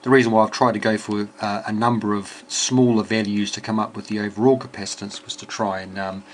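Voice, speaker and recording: A man giving an informal technical walkthrough as a hobby builder, thinking aloud in a hesitant, halting way. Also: on the low side. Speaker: amateur radio builder presenting a project. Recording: clear steady background noise.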